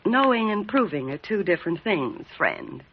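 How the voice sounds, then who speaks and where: A woman's voice: mid-range voice, big pitch swings, moderate pace, slight background noise, natural voice. An actress playing a scheming, sarcastic female racketeer in 1940s radio crime drama, brassy, slangy and needling. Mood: coolly threatening. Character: hard-boiled, scheming, sarcastic racketeer woman. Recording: dull vintage radio recording.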